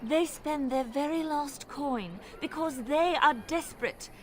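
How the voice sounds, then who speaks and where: A woman's voice: high-pitched, unhurried. A woman playing an earnest, righteous priestess in a video game, speaking formally and with measure.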